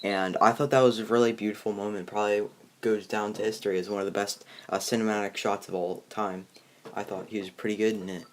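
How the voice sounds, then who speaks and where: A young man with a fairly low voice hosts an informal movie discussion. He rambles in a halting way, feeling out his thoughts as he goes. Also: flat delivery, slight background noise. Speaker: host of a movie review video. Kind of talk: casual chat reviewing a film.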